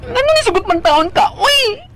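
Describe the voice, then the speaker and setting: Shrill, very high-pitched campy sidekick. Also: female voice, big pitch swings, normal speed, fairly bright tone, exaggerated voice. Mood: shrieking, scolding. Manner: shrill, exclaiming, over-dramatic. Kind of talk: radio drama acting.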